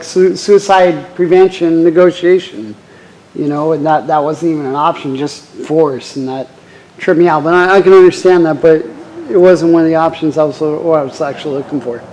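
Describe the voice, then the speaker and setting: A man's voice: a little high, a little mellow, poor audio. A man from the audience asking an informal question, hesitantly piecing his thought together. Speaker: audience member.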